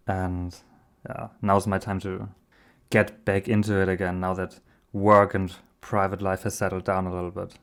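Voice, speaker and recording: A man hosts an interview podcast. His voice is on the low side, and he speaks as an interviewer from Germany. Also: little pitch movement, moderate pace, gravelly, fairly bright tone, clear, resonant tone. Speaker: podcast host. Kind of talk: interview hosting.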